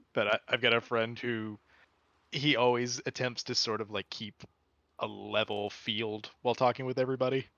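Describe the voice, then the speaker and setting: A man explains things in a loose, rambling way, full of fillers like "like" and "you know". His mid-pitched voice comes through a dull, slightly muffled mic with no room sound. He is chatting casually with friends. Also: fairly even intonation, unhurried, pauses often, fairly bright tone, dry recording. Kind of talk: casual chat.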